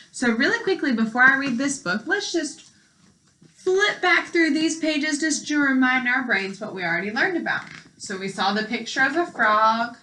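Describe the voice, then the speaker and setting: A woman's voice: fairly high pitch, sharp tone. A woman teacher reading aloud to young children and explaining as she goes in simple words, with questions to the listeners. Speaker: elementary school teacher.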